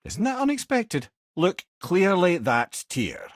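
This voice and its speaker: A man's voice: sits high, sing-song, slow pace, no echo, studio quality, exaggerated voice. An actor voicing a witty, learned old male sage and storyteller in a video game, with a Scottish accent and a wry, erudite way of talking. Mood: thoughtful, explaining.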